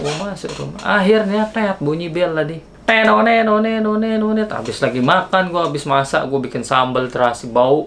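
A man in his thirties, seated in a small bedroom with a gaming setup, telling a story casually and acting out the dialogue with lively shifts in pitch, recorded on a camera mic. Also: gravelly, slight background noise. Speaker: vlogger. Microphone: camera mic, seated facing camera.

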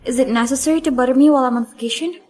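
A woman acting a young, deferential but privately exasperated assistant in a dubbed romantic drama, with a husky voice. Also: sits high, very fast, a little mellow. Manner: polite, deferential.

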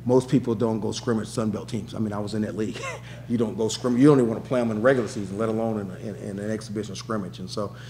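A middle-aged man answering questions as a head coach at a press conference, with quick, emphatic answers and lots of restarts, speaking into a table mic in a press room. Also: on the low side, fairly even intonation, dark tone. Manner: rapid, repetitive restarts, emphatic.

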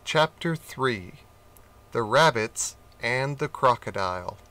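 A man reading a children's picture book aloud, slowly and expressively. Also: medium pitch. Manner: slow, expressive reading with character voices. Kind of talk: storybook read-aloud.

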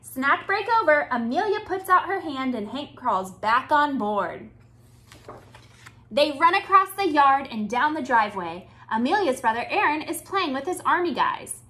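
A woman in her thirties reads a picture book to small children. Her voice sits high and swings widely in pitch. She takes it slowly, phrasing each line deliberately and expressively for a young audience. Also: big pitch swings, sharp tone. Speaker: children's storytime reader. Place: small indoor room with bookshelves.

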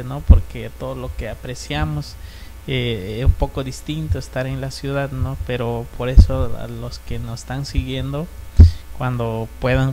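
A man in his thirties speaks with a Mexican Spanish accent, thoughtful and unhurried, leaning on little tag questions. His first language is Chinantec. He holds a handheld mic in a small indoor studio, with faint music underneath. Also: mid-range voice, darkish tone, rough recording. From San Felipe Usila, Oaxaca, Mexico. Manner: reflective, frequent fillers and tag questions. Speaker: guest. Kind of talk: casual talk show conversation.

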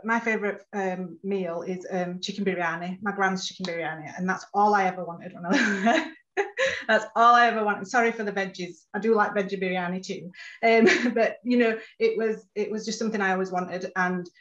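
A woman's voice: mid-range voice, moderate pace, fairly bright tone, highs cut off. A woman panelist telling her personal business story over a video call, with frequent fillers. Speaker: panelist, food business founder. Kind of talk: online panel answers.

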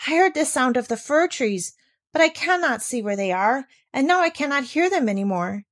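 A woman's voice: sits high, animated, normal speed, fairly bright tone, voice pushed up. A woman reading a storybook as an innocent, earnest, curious little girl. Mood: puzzled.

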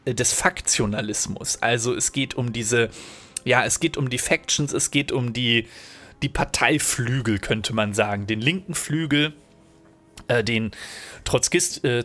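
A man's voice: mid-range voice, fairly bright tone. A German-speaking man commentating a strategy game at his desk, explaining mechanics thoughtfully with many fillers while recovering from a cold. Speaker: let's play host.

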